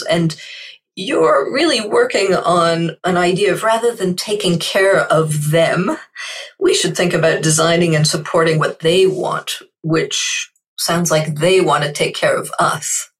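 A woman's voice: fairly low pitch, a little slow, recorded from a distance. A woman hosting a podcast interview, summarizing her guest's ideas and asking probing follow-up questions. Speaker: podcast host.

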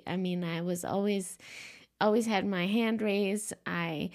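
A woman hosting her own podcast in a soft, quiet voice, a little stuffy and sniffly from allergies, talking gently with frequent ums and restarts. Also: medium pitch. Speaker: podcast host and life coach. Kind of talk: solo podcast monologue.

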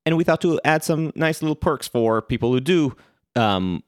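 A man's voice: fairly high pitch, animated, normal speed, smooth, no echo. A man with an Israeli accent co-hosting a podcast, talking casually and hesitantly with restarts and dry humor. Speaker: podcast co-host. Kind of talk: casual podcast chat and promo.

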